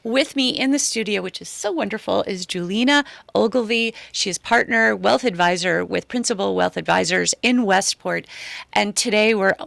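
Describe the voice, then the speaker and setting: A woman's voice: medium pitch, moderate pace, creaky, fairly bright tone, dry recording. A woman in her sixties with an American accent, hosting a conversational interview show in a studio. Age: 60s. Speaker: radio show host.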